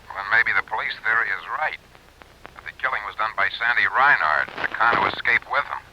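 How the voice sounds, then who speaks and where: A man's voice: on the low side, animated, a little fast, bright, forceful tone. An American man playing a businesslike, insistent insurance company man over a telephone in a radio drama, earnest and pressing. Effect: telephone filter. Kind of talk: 1950s-60s radio detective acting. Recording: tinny telephone effect on old radio.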